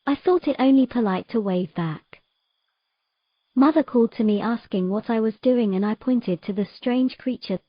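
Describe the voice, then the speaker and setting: A woman playing a sorrowful young mermaid in an audio drama, telling of her past in a gentle, measured, halting way. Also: fairly high pitch, no echo, lo-fi sound, lightly acted. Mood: wistful.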